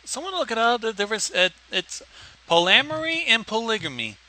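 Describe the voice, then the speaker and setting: A man's voice: very high-pitched, very animated, fairly quick, pushed and bright. A man co-hosting a livestream, bantering casually in a blunt, sweary way. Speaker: livestream co-host commenting on a story.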